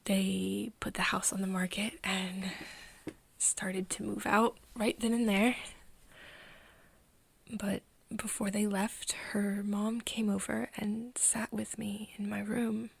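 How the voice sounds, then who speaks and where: A woman playing an earnest young woman confiding in a friend in an ASMR roleplay, speaking softly and haltingly, often in a whisper. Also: mid-range voice, little pitch movement, dry recording, full character voice. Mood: sad, hesitant. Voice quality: soft, breathy, often whispering. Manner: halting, hesitant confiding with pauses. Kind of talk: ASMR roleplay, intimate one-sided conversation.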